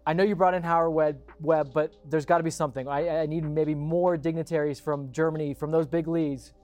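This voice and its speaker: Emphatic man hosting sports talk, higher-pitched voice. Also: steady tone, fairly quick, a little mellow, soft background music. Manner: emphatic, opinionated. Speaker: sports show host. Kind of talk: casual sports show commentary.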